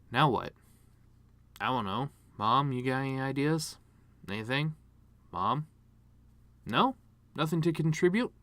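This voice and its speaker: Casting: a man playing a grieving, bitter male horse delivering a eulogy monologue; medium pitch, sardonic and self-deprecating, dry recording. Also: no echo. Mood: needling. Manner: sardonic, rambling, self-deprecating deadpan humor. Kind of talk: dramatic monologue reading.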